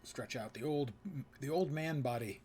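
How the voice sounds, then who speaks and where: A man's voice: mid-range voice, normal speed, a little mellow. Middle-aged man casually streaming, noisy background. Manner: thinks aloud while playing. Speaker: live game streamer. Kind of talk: casual gameplay commentary.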